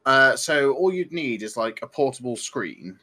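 A man's voice: mid-range voice, animated, unhurried, bright, forceful tone, slightly rough. British man in casual tech chat. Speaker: podcast co-host.

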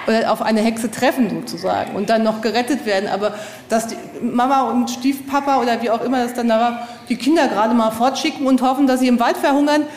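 A woman's voice: on the high side, darkish tone. A woman speaking as a guest author in casual discussion, enthusiastic and often tagging her sentences with a questioning "ja?". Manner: enthusiastic, tag-question "ja?" endings. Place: historic castle site. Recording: long reverberant hall.

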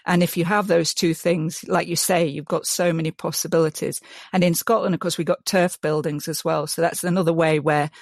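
A woman's voice: sits low, steady tone, fairly quick, hardly any pauses, dry recording. Enthusiastic woman, dry close sound. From Yorkshire, England. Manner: enthusiastic, restarts often, many ums. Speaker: guest, mud mason. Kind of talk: casual interview chat.

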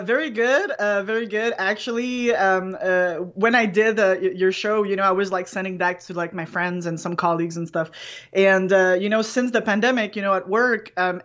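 A woman's voice: mid-range voice, a bit bright, dull audio. A young woman with a French Canadian accent, chatting hesitantly with many fillers as a guest on a video call. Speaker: guest caller, talent show winner. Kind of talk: casual chat on a video call.

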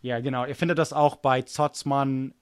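A man's voice: medium pitch, little pitch movement, moderate pace, smooth, no echo. American man, native English speaker, podcast co-host, chatty, self-deprecating, dry close sound. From United States. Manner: chatty, self-deprecating, frequent restarts and fillers. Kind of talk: casual chat.

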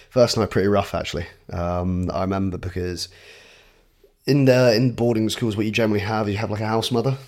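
Podcast guest: young man from scotland, deep voice, laid-back and self-mocking, no real accent. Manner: relaxed, self-deprecating, many ums. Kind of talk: casual interview chat.

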